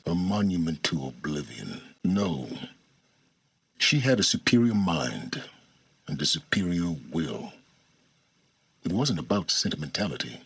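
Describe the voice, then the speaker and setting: An older, aloof video game scholar with a very low, husky voice. His delivery is formal and cerebral, swinging widely in pitch as he condescends. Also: a bit bright, no echo, heavily acted. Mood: bitter awe, then cool, insistent. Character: aloof, arrogant older male scholar of lost ancient technology. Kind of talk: video game voice acting, dramatic dialogue.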